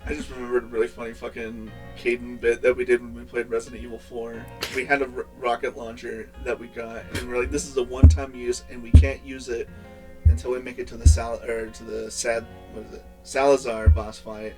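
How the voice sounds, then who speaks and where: A man's voice: mid-range voice, level tone, a little fast, over music, rough recording. A young man co-hosting a comedy podcast, rambling through absurd hypotheticals and swearing often. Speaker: podcast co-host. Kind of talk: casual comedic chat.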